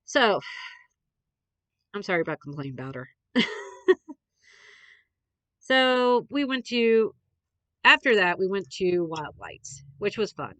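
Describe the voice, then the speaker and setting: A woman's voice: medium pitch, big pitch swings, slow, long pauses, pushed and bright, no room sound. A young adult woman, a little congested, chatting in a rambling, meandering way with many fillers as a podcast host. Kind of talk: rambling casual chat.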